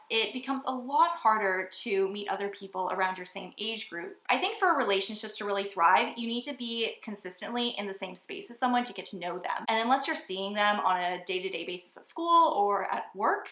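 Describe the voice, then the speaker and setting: A woman's voice: medium pitch, fairly bright tone, reverberant. A woman in her twenties with an American accent, giving personal advice to viewers in a vlog. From United States. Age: 20s. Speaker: vlogger.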